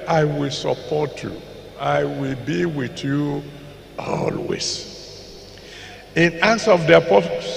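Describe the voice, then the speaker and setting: Older nigerian pastor, slow gravelly voice, handheld mic, big echoey auditorium. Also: male voice, fairly high pitch, a little hiss or hum. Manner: slow and deliberate, repeats scripture references, decrees blessings. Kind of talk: sermon. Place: large packed auditorium. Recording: echoey hall with long reverb.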